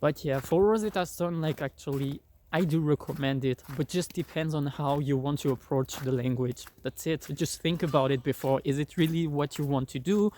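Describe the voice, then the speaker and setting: A man's voice: a little high, moderate pace, hardly any pauses, mellow, slight background noise. A young man with a French accent gives a casual, rambling review of an app, full of fillers and restarts, speaking outdoors into a phone. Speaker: vlogger. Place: outdoors in cold weather. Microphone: phone held by the speaker.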